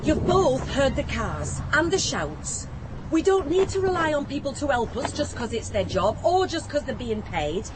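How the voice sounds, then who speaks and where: An actress playing a brisk, determined, cheery woman with a Northern English accent, bossing her friends along in a radio play. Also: high voice, big pitch swings. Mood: rousing. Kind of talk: naturalistic radio play acting. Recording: outdoor scene with clear traffic ambience noise.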